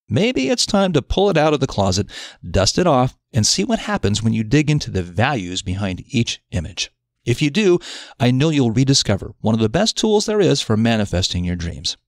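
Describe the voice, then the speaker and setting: A man reading a scripted self-development article aloud in a measured, articulate, explanatory manner. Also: fairly low pitch, no room sound, studio quality. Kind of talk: scripted narration of an audio article.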